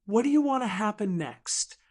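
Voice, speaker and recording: A woman voicing a quiet, tender middle-aged man in an audiobook romance, gentle and soft-spoken. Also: medium pitch, animated, normal speed, natural voice.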